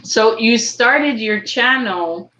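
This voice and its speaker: Slow-speaking woman host. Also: on the high side, expressive, pushed and bright, muffled recording. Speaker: host of the channel. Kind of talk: live-stream interview.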